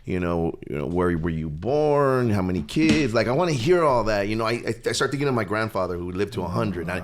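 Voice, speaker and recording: A man's voice: lowish voice, animated, fairly quick, slight background noise. A man hosting a podcast in a casual, easygoing way, often stumbling over and repeating words as he asks his guest questions. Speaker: podcast host. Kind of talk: casual interview.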